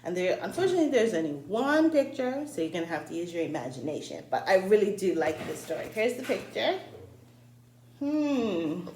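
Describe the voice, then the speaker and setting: A woman reading a folktale aloud for children in an expressive way, addressing her young listeners directly. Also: fairly low pitch, normal speed. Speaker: story reader, teacher-like host. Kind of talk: read-aloud storytelling for children. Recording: roomy echo, faint background noise.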